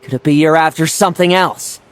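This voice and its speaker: A man's voice: fairly high pitch, brisk, fairly bright tone, soft background music, close to an everyday voice. An actor playing a brash young warrior who taunts his opponents. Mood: suspicious. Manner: bold, taunting.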